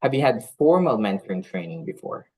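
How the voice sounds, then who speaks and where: A man's voice: mid-range voice, big pitch swings, brisk, darkish tone. A man born in the Philippines, whose first language was not English, giving a conference talk on nursing mentorship in an earnest way, with many fillers and restarts. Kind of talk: conference talk with live audience polls.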